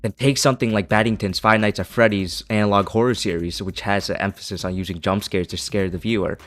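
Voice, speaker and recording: A man's voice: lowish voice, steady tone, quick pace, a bit bright. A man reading a scripted video essay as its narrator, with an opinionated, critical manner.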